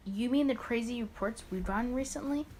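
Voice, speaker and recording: A woman's voice: on the high side, brisk, noisy background. A woman hosting a let's play, reading a visual novel aloud expressively with casual muttered asides and small stumbles.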